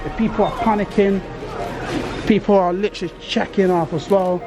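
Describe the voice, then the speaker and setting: A man with a high voice narrates a casual walk-around vlog. He talks informally and exclaims often, with loud music playing behind him in a supermarket. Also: fairly even intonation, normal speed, crowd noise. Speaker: vlogger. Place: inside a large supermarket.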